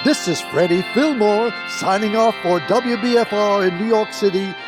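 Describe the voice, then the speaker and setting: An actor playing a cordial old-time radio announcer in a live radio play, in formal 1940s announcing style. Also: male voice, high-pitched, normal speed, music in the background, lightly acted.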